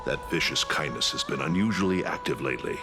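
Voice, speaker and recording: An actor playing a gruff, wary veteran warrior lord in a video game, blunt, commanding and sardonic. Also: male voice, a bass voice, fairly quick, over music. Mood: stern.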